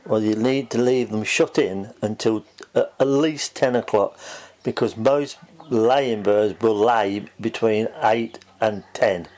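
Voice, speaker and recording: A man's voice: fairly low pitch, takes it slowly, darkish tone. A man giving informal expert advice in a plain-spoken, matter-of-fact way. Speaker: farm technician, poultry expert.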